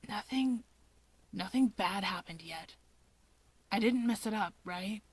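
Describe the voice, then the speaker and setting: Woman as a quiet, halting, insecure young man. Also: medium pitch, slightly rough, no echo. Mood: anxious.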